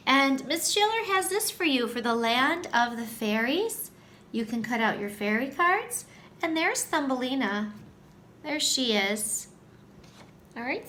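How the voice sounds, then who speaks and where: A woman with a fairly high voice speaks very slowly, as a teacher does at story time. She addresses young children directly in a sing-song manner, with a faint noise behind her. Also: sharp tone.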